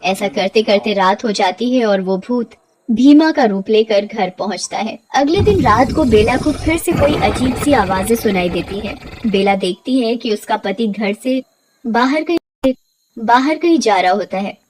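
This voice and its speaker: A woman's voice: on the high side, animated, a little slow, noisy background. A woman narrating a scripted cartoon story as its storyteller.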